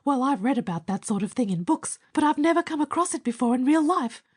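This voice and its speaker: Woman doing a high gushing little mole. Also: lively intonation, a little fast, slightly rough. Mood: gushing admiring. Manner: breathless, gushing rush of praise. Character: small eager male mole, gushing and admiring. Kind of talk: audiobook character voice.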